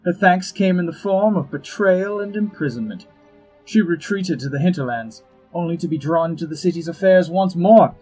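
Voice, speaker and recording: An actor playing an urbane, wry, scheming ambassador in a fantasy audio drama, droll, precise and pompous, with a husky voice. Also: male voice, fairly high pitch, a little mellow, poor audio. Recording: music behind the voice.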